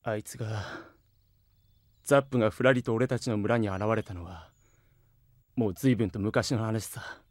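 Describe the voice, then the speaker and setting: A man's voice: lowish voice, steady tone, normal speed, pauses often, no room sound, studio quality, lightly acted. A man playing a defiant, bitter young villager in an anime audio drama. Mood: bitter, sullen.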